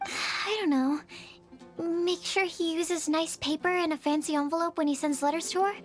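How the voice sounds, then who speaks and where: A woman playing a sweet, earnest young alchemist's assistant in a video game, explaining things politely and gently. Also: very high voice, steady tone, normal speed. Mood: helpful. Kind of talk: video game character acting. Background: background music.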